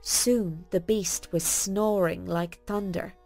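A woman reads a bedtime tale for children. She is folksy and expressive, taking her time over each phrase. Faint music plays behind her. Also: fairly low pitch, takes it slowly, vocal fry. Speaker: storyteller. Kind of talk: scripted bedtime story narration for children.

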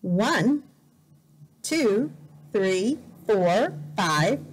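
Very slow, expressive woman reading to children. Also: mid-range voice, slight background noise, low-quality recording. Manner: deliberate, counting out and repeating words, expressive. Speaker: volunteer English teacher reading a picture book. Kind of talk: slow read-aloud lesson for young learners. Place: indoor room, at a desk.

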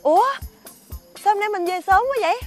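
A bewildered young wife in a folk-tale drama. Her voice is high and questioning, and faint music plays behind her. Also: brisk. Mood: puzzled.

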